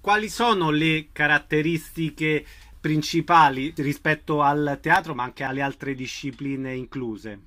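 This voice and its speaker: A male presenter with a fairly high, bright and forceful voice. He delivers prepared introductions and puts questions to a guest. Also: unhurried. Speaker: interviewer, presenter.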